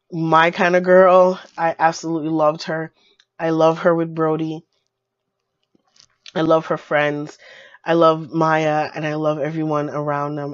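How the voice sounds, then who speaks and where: Hoarse woman gushing over a book. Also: sits low, no room sound. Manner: gushing, many fillers and repeats. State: hoarse with a cold. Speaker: book reviewer. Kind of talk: casual video book review. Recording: dull lo-fi dry sound.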